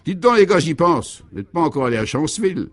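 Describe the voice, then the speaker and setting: A man's voice: a little high, big pitch swings, fast talker, voice pushed up. A man acting out an assured, sententious driver in a comic radio serial, explaining absurdities matter-of-factly. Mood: enthusiastic.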